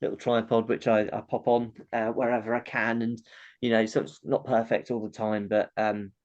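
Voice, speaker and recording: A man's voice: fairly low pitch, little pitch movement, normal speed, darkish tone, lo-fi sound. A man with a nasal voice, a comedian chatting casually as an interview guest, laughing often and acting out how audiences react.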